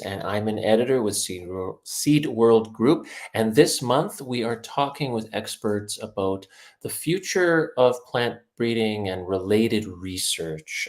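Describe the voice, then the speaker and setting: A man hosting a video interview series, introducing guests in a measured way and correcting himself now and then. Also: lowish voice. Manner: measured, reads sponsor lists, self-corrects. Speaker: host, editor.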